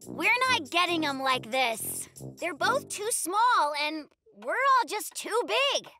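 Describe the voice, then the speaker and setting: An easygoing cartoon polar bear cub, high and bright. The friendly pal speaks agreeably with lively intonation over faint music. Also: slow, exaggerated voice. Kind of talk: animated children's cartoon acting.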